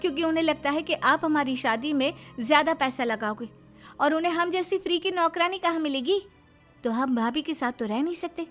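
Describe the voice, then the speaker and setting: A very high-voiced young sister, defiant and outspoken, acted by a woman narrator. She argues and protests as in a domestic storybook drama, with music behind. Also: brisk, a bit bright. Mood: defiant, sarcastic. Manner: protesting, argumentative.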